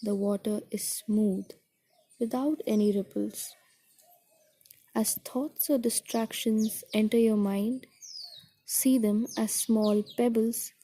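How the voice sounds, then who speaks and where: A woman guiding a meditation in a soothing, gentle, deliberate way, with a soft, breathy voice that trails toward a whisper. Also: fairly high pitch. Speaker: meditation guide. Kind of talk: guided meditation narration.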